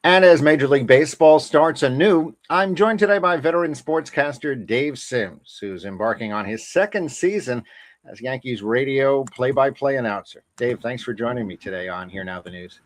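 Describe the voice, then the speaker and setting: Polite male sports interview host, medium pitch. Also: lively intonation, moderate pace.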